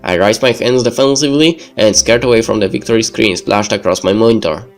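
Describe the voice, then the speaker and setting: A man's voice: fairly low pitch, brisk, faint music behind. A man reading visual novel narration aloud as a let's player. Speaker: video game let's player.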